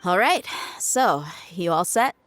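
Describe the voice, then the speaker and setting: A woman's voice: fairly low pitch, animated, slow pace, fairly bright tone. An actress playing a confident, teasing desert mercenary woman in a video game, casual and wry. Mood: easygoing. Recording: game music behind the voice.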